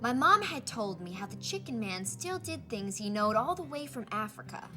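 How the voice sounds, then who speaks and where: A woman voicing a spirited Southern American grandmother telling a storybook tale of her girlhood, in folksy, animated rural dialect. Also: a little high, normal speed. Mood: spirited, awed. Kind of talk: children's storybook character reading. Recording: music playing behind the voice.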